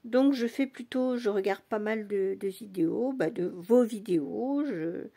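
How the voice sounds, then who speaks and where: An English-born woman talking casually in a vlog, speaking slowly and going back and forth between English and French. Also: medium pitch, vocal fry. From England. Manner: soft, unhurried, switches between English and French. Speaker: doll collector vlogger. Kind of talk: casual bilingual vlog chat.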